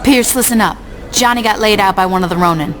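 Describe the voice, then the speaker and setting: An actress playing a tough, bossy street gang leader in a video game, curt and sarcastic. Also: female voice, mid-range voice, fast, noisy background, lightly acted. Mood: curt, commanding. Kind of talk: video game cutscene acting.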